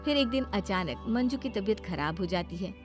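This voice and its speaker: A woman's voice: mid-range voice, animated, moderate pace. Woman narrating a story over light music. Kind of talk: scripted narration of a cartoon bedtime story.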